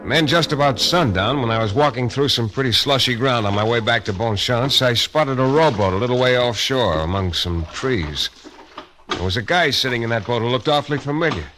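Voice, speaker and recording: An actor playing a tough, world-weary private detective who narrates a hardboiled 1940s-style radio drama with clipped, sardonic delivery. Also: male voice, mid-range voice, animated, fairly quick, a bit bright, soft background music, natural voice. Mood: wry, suspenseful. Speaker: detective and first-person narrator.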